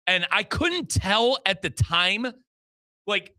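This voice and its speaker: A man with an American accent hosts sports talk in a high-pitched voice. The sound is dry and close, and his tone is bright and forceful. He is emphatic and colloquial as he recaps the action. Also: sing-song, slow, no echo. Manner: emphatic, colloquial, addresses listeners and co-host directly. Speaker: sports show host and radio play-by-play commentator.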